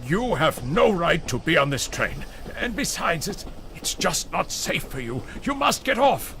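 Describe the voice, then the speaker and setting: A male actor as a pompous, frightened man in an audio drama, indignant and stammering. The voice sits fairly high and bright, with a creaky edge. He sounds condescending and rattled, over a faint rumble of train noise. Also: big pitch swings, measured pace, full character voice. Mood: agitated, insistent.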